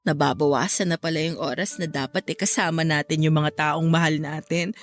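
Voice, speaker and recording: A woman's voice: deep voice, fairly even intonation, brisk, close to an everyday voice. A young woman playing a bereaved, heartbroken woman in a Filipino radio drama, speaking in a plaintive, emotional way. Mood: wistful. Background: music.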